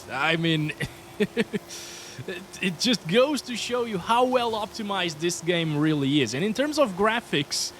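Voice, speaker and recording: A man's voice: high voice, a bit bright, slight background noise. Chatty man with a Portuguese accent. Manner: chatty, upbeat, often says "guys". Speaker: PC hardware reviewer. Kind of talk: casual gameplay commentary.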